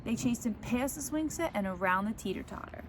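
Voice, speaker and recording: Woman reading a storybook over background noise. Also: fairly quick. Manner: expressive, acts out the characters' lines. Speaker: teacher reading a picture book aloud. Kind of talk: animated children's story read-aloud. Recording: clear background noise, ambient rumble.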